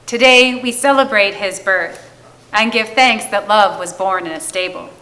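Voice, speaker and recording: A woman reads scripted, poetic liturgy for a church service. Her voice is mid-pitched and notably bright. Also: a little slow, low-quality recording. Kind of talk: scripted poetic reading, shared among readers.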